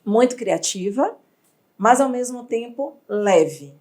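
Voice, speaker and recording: A woman from Bahia, an entrepreneur telling her story in an interview, reflective and expressive. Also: on the high side, slow pace. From Bahia, Brazil. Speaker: entrepreneur guest.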